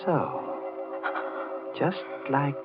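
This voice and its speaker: A man playing a brooding, jealous, quietly mad sculptor in a 1940s radio horror drama, halting and intense. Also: medium pitch, wildly swooping pitch, full character voice. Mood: quietly menacing. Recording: muffled 1940s radio recording, music behind.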